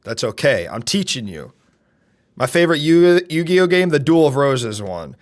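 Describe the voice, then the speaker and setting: A young man with a deep voice, streaming and chatting casually, loud and brash, swinging from shouts to mutters. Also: very animated, moderate pace. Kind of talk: casual live-stream chat.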